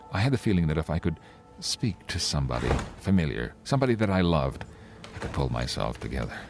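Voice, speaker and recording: A man acts a haunted traveler in a radio drama with a low, deep voice. He sounds frightened and close to unraveling, speaking in dramatic, halting phrases over prominent music. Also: creaky, exaggerated voice. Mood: weary, anxious. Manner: dramatic, halting and stammering when distressed. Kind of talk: radio play narration and dramatic dialogue. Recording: music bed behind voice.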